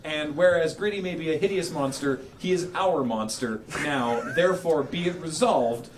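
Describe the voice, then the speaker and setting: A man with a slightly high voice reads a formal proclamation aloud with mock solemnity. He is plainly amused and liable to crack up as he goes. There is clear background noise with some clicking. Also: moderate pace. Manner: amused, prone to cracking up while reading. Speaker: charity livestream host reading aloud. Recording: noisy room with clicking.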